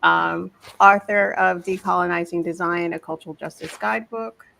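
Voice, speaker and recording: A woman with a low, gravelly voice introduces herself as a panelist. She talks in a measured way and pauses often to gather her words. The video call carries a faint hiss. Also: steady tone, bright, forceful tone, poor audio. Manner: measured, with frequent hesitations.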